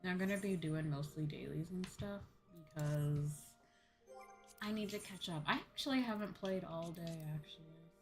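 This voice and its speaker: A woman's voice: on the low side, normal speed, soft background music. A woman livestreamer chatting casually to her viewers as she plays, informal and rambling, often repeating herself. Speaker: livestreamer and host.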